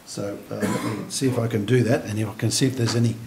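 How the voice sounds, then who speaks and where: Man, middle-aged, moderator, halting restarts, roomy echo, distant mic. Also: fairly low pitch, fairly even intonation, vocal fry, noisy background. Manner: hesitant, many restarts and fillers, thoughtful. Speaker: moderator, academic host. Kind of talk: panel conversation. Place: lecture room with a seated audience.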